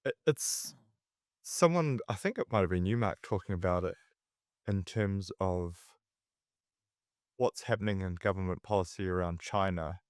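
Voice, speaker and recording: A man's voice: sits low, moderate pace, pauses often, no room sound, studio quality. A man, chatting casually about markets and often restarting his sentences. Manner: conversational, restarts mid-sentence. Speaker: investment commentator.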